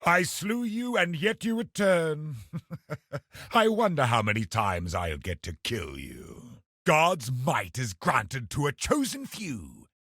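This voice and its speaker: Give this game boss a raspy, forceful delivery with huge swings in pitch. He is a zealous crusader commander, imperious and cruel. Each line is declaimed slowly and with menace. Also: very animated, no room sound, clean studio sound, exaggerated voice. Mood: haughty, contemptuous. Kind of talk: theatrical video game boss barks.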